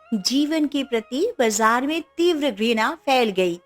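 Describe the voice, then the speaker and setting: High-voiced, slow, animated woman storyteller. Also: soft background music. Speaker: narrator. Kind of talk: scripted children's moral-story narration.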